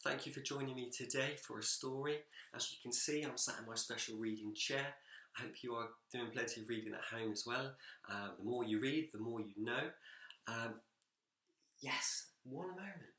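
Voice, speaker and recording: A man reading a children's picture book aloud in an expressive, animated storytelling manner. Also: mid-range voice, moderate pace. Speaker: school story-time reader, teacher. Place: indoors, seated in a reading chair. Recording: echoey room.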